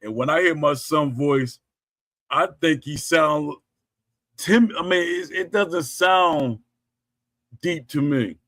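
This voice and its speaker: A middle-aged man hosts a casual livestream, his voice sitting a little higher than most men's. He rambles through personal stories, stops and restarts his sentences, corrects himself and reads out viewers' chat comments. The sound is dry and close, with almost no room echo. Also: slow, pauses often, fairly bright tone. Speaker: podcast host.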